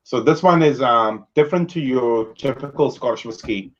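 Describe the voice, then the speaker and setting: Male host, easygoing chatter, mid-pitched, slightly muffled lo-fi call audio. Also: normal speed. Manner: relaxed, chatty, uses fillers. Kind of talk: casual chat over whisky.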